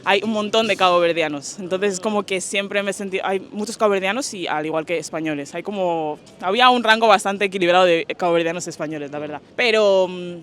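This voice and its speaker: A young woman from Spain with a bright, sharp voice talks things through in a relaxed, reflective way. Her answers to the interviewer stay casual and slangy. She sounds thoughtful rather than rushed. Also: on the low side. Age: young adult.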